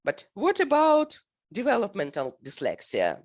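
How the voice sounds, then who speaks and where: Halting Ukrainian-accented woman, lively pitch. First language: Russian. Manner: halting, many fillers. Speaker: presenter. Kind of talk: academic presentation.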